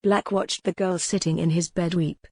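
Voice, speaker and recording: A woman with a fairly low voice narrates a historical romance audiobook. Her delivery is scripted and dramatic. The sound is very dry, with no echo. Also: steady tone, normal speed, darkish tone, breathy edge.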